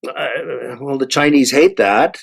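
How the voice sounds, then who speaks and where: An older man in a panel discussion, speaking haltingly and often repeating words as he searches for the next one. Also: mid-range voice, gravelly. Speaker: actor and panel discussion host.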